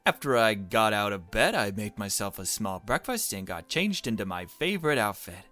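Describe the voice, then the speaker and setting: Male let's play host, lowish voice, reads game text aloud with casual asides over music. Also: big pitch swings, moderate pace, pushed and bright. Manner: casual self-commentary between read passages. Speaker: gaming video host.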